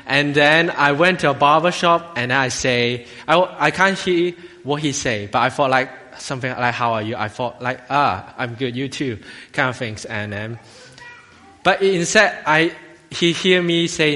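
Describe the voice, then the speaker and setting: A man with a Hong Kong accent giving an informal, jokey, halting sermon as a lay minister to a church congregation. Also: medium pitch, bright, forceful tone. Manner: halting, jokey, self-deprecating. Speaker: assistant lay minister preaching. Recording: long hall reverb.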